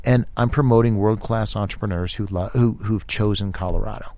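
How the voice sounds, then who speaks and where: A man chatting casually as a guest on a podcast, telling stories in animated bursts with many restarts. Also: lowish voice, a little fast. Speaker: podcast host, guest here. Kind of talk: casual interview chat.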